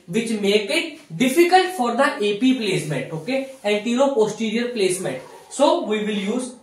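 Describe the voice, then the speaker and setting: A young man teaching in lecture style, repeating key phrases for emphasis and often saying okay. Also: sits high. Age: young adult. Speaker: physiotherapy teacher. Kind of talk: classroom-style lecture at a whiteboard. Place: small bare indoor room with whiteboard. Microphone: camera mic a few steps away. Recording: very echoey room, distant microphone.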